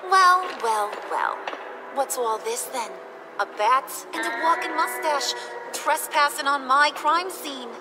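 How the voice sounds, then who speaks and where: A woman's voice: sits high, takes it slowly, music in the background. A woman playing a brash, cocky criminal clown turned agent in a video game, mocking and theatrical in her taunts. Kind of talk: video game character acting.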